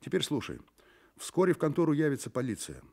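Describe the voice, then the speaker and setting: Brisk, husky-voiced male private detective. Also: on the high side, wildly swooping pitch, mellow, exaggerated voice. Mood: brisk, commanding. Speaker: radio play actor. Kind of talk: radio drama acting.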